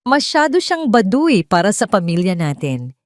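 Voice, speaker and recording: A woman's voice: medium pitch, big pitch swings, normal speed, no room sound, clean studio sound, lightly acted. A woman voicing a scornful, scheming mother-in-law with a mocking manner in an audio drama. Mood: contemptuous.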